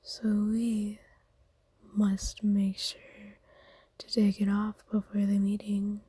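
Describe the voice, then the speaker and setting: A woman voicing a kind, doting, centuries-old vampire in a soft, breathy whisper, speaking formally and tenderly in an ASMR roleplay. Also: medium pitch, completely flat delivery, no echo. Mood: doting, soothing. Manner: slow, tender, formal wording.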